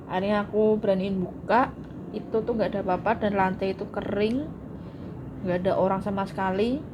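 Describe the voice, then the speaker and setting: A woman's voice: medium pitch, steady tone, a little fast. Chatty woman, noisy recording. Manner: chatty, jokes around between spooky anecdotes. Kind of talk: casual storytelling chat.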